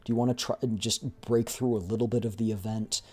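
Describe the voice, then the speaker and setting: A man's voice: on the low side, fairly even intonation, brisk, mellow. A man hosting a livestream, chatting casually to his viewers. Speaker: livestream host.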